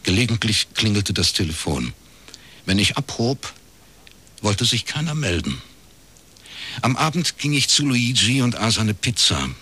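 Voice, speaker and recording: An actor playing a wry, laconic private detective with a husky voice, in radio crime drama narration and dialogue. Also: male voice, lowish voice, unhurried, pauses often, full character voice. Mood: wry, reflective. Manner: dry, deadpan, understated. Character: wry, laconic male East Berlin private detective, ex-academic.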